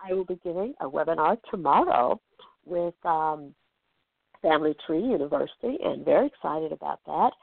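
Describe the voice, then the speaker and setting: A hoarse woman speaking as a guest in an interview, talking about her genealogy work with emphatic enthusiasm. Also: low-pitched, lively intonation, normal speed, dry recording. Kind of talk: interview answers. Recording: tinny phone line, dry.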